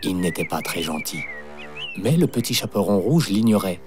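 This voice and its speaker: A man narrating a scripted fairy tale for children in an expressive storytelling manner. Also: low voice, normal speed. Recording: music playing behind. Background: background music.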